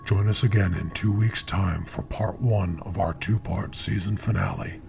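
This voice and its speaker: A man's voice: deep voice, steady tone, moderate pace, vocal fry, background noise. A man reading a scripted radio outro as the show's announcer.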